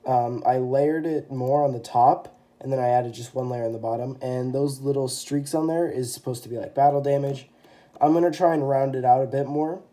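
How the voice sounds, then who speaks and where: A man's voice: mid-range voice, fairly even intonation, normal speed, warm tone. A young man with a stuffy, congested voice from a cold, casually showing off a custom toy figure with lots of ums and self-corrections. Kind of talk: casual show-and-tell. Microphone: phone camera.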